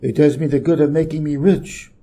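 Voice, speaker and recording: A man's voice: on the high side, fairly even intonation, a little fast, mellow, natural voice. A man voicing a busy, self-important, irritable middle-aged businessman from a storybook, brusque and emphatic. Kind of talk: storybook character reading.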